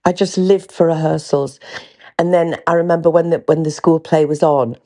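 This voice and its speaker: A woman's voice: deep voice, steady tone, normal speed, warm tone. An older woman from North East England with a faint Geordie accent, hosting a podcast and chatting candidly with frequent ums and restarts. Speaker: podcast host. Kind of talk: candid casual storytelling.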